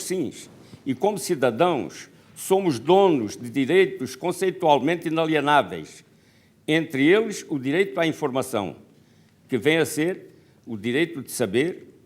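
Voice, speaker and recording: Deliberate older Brazilian man. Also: fairly high pitch. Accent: Brazilian Portuguese. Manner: deliberate, rhetorical. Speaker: co-moderator. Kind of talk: formal speech and questioning.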